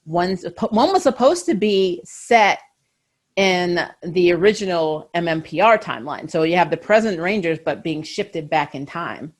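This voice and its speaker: A woman's voice: lowish voice, expressive, unhurried. A woman hosting a casual fan chat, talking in a giggly, enthusiastic way. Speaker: host of a fan interview show.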